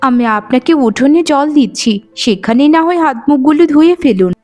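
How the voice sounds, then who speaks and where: A woman's voice: sits high, lively intonation, brisk, a little mellow, faint music behind. A woman voicing an earnest, wronged young daughter-in-law who pleads piously in a melodramatic cartoon. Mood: caring. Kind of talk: melodramatic cartoon moral-story voice acting.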